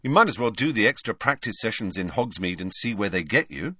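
A man's voice: on the low side, big pitch swings, a little fast, sharp tone, breathy edge, no room sound, clean studio sound, full character voice. A man voicing an earnest, reasonable teenage schoolboy in an audiobook, straightforward and youthful in manner. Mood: reasonable, encouraging.

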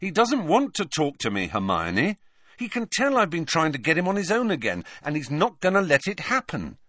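A man's voice: fairly high pitch, huge pitch swings, moderate pace, bright, forceful tone, dry recording, studio quality, pitched above the performer's own voice, full character voice. A man voicing an earnest, reasonable teenage schoolboy in an audiobook, straightforward and youthful in manner. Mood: cross, frustrated.

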